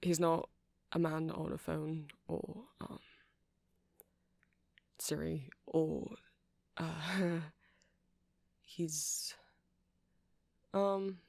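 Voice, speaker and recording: A soft-spoken man doing a rambling ASMR roleplay as a shopkeeper, hesitant and apologetic in manner. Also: a little high, flat delivery, extremely slow, gravelly, long pauses, no echo, clean studio sound. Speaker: character performer playing a shopkeeper.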